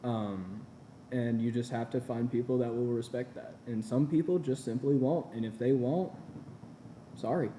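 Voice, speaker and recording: A man's voice: mid-range voice, moderate pace, mellow, roomy sound, slight background noise, poor audio. A man in his twenties, a small-business owner, giving earnest, encouraging answers in a casual interview. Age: 20s. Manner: earnest and encouraging, with repeated phrases.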